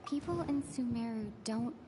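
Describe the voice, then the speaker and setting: A woman voicing a weary, dreamy young noblewoman who speaks slowly and half whispers, with soundtrack behind. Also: a little high, music in the background, close to an everyday voice. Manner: slow, soft, partly whispered. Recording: game audio with soundtrack.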